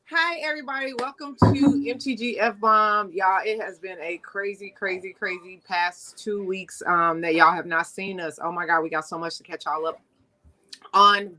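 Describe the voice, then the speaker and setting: A woman hosting a casual online talk show, loud and playful, jumping her pitch up for emphasis. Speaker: host. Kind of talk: casual chat.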